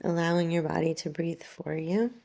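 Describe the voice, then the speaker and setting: Female meditation guide: low, creaky-edged voice, gentle and unhurried yoga nidra instruction, long pauses. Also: flat delivery.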